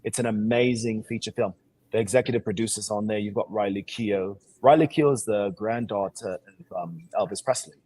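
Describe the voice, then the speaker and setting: This man has a low voice with a raspy edge and speaks in a put-on British accent. He talks like an actor in an interview selling himself, with confident, emphatic, boastful phrasing and plenty of slang. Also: a little fast, a little mellow, poor audio. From born in Sierra Leone, grew up in Seattle. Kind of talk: animated self-promotional interview talk.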